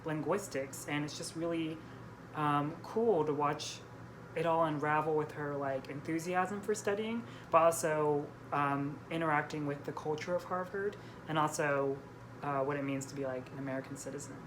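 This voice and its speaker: A woman's voice: deep voice, fairly even intonation, normal speed. A young woman in a casual book chat with a friend, hosting in a hesitant, self-correcting way. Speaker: book vlogger, host reading out questions. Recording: clearly noisy room recording.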